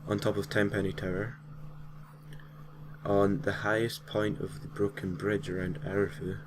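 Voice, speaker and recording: A man's voice: lowish voice, flat delivery, moderate pace, background noise. A man narrating a gaming story in an informal way, reading from a script with casual, slightly hesitant asides. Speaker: host and narrator of a gaming creepypasta series.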